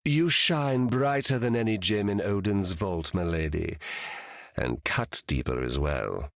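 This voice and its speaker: An actor playing a scheming, flattering trickster god in a video game, silky, formal and insinuating. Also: male voice, deep voice, measured pace, gravelly, no echo, studio quality, heavily acted. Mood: sly, flattering.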